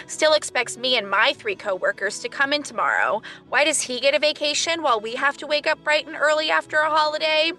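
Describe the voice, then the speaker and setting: A woman's voice: a little high, pushed and bright. A woman playing a fed-up young office worker phoning a hotline for help, sounding exasperated and pleading. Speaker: caller. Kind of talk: scripted comedy sketch.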